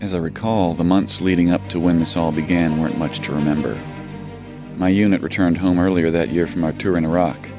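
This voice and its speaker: An actor playing a weary, blunt young army sergeant narrating his journal in an audio drama. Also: deep voice. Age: 20s. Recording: music under the voice.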